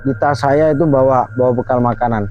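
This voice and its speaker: A man's voice: mid-range voice, brisk, slight background noise. A man hosting a night outing, earnestly telling a true story with emphatic, filler-heavy delivery outdoors at night. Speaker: host. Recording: lo-fi with faint music.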